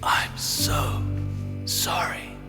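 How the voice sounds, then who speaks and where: An actor playing a haunted, wounded detective, narrating a grim horror audio drama with grave intensity. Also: male voice, very slow. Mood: grieving, exhausted. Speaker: narrator and lead character. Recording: loud music bed throughout.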